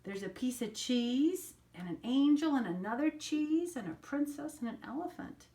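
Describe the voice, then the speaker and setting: An older woman reading a children's picture book aloud as a storyteller, pausing to explain things to young listeners. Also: sits high, expressive, mellow.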